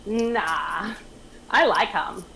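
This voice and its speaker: A woman playing a flirty, blunt diner waitress in a script reading, with a flippant, drawn-out delivery. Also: mid-range voice, pushed and bright, a little hiss or hum, full character voice. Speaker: actor at a table reading.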